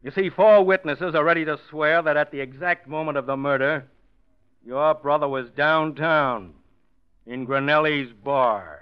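An actor playing a blunt homicide lieutenant interrogating a suspect in a 1940s radio drama. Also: male voice, fairly high pitch, unhurried, smooth, lightly acted. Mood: stern. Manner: curt, hard-nosed interrogation. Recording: muffled old radio broadcast.

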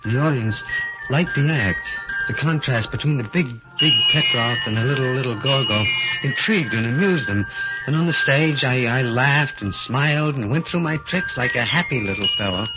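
A man's voice: medium pitch, lively intonation, lightly acted. A man voicing a timid, bitter adult male dwarf who narrates his own story and stammers when pleading, in a 1940s radio drama style. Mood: brooding. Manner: halting, stammering, pleading in dialogue. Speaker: narrator and lead character. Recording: dull old radio recording with music.

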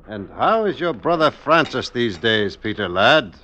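A man's voice: medium pitch, sing-song, measured pace, pushed and bright. A man playing a scheming, coaxing stonemason with folksy old-country speech in an old radio drama. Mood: sly probing. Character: scheming male stonemason, sly, coaxing poisoner. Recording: muffled, noisy vintage radio recording.

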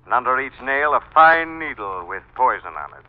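An actor playing a relentless, mocking invisible avenger of crime in 1940s radio drama style, menacing and deliberate. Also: male voice, mid-range voice, expressive, close to an everyday voice. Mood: coldly triumphant. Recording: old muffled radio broadcast with music.

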